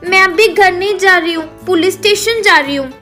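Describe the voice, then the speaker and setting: A woman voicing an innocent, polite, excitable little schoolgirl in an animated moral story, speaking in an earnest, childlike way. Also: very high voice, a little fast, faint music behind, pitched above the performer's own voice.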